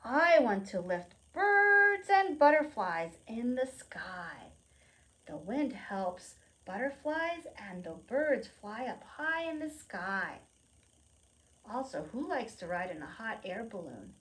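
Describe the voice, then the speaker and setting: Slow, expressive woman leading preschool storytime. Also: a little high, low-quality recording. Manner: simple, expressive teacherly phrasing addressed to young children. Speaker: children's library storytime presenter. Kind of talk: read-aloud storytime for preschoolers with questions and explanations.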